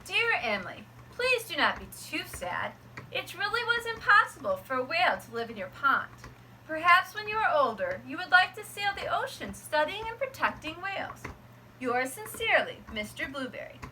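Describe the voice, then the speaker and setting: A woman teacher reading a picture book aloud to her students, gentle and expressive. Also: sits high, normal speed, slight background noise, rough recording.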